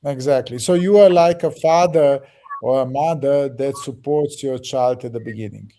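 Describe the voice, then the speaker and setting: A man with a very dark, mellow voice explains a project to a group. He talks haltingly, restarts words and pads with fillers. His pitch sits in an ordinary middle range. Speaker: project leader answering questions.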